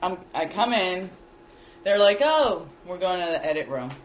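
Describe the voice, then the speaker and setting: A man's voice: high-pitched, normal speed. A man whose first language is not English, a guest video editor telling a story casually and acting out what people said. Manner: animated, laughing, acts out quotes.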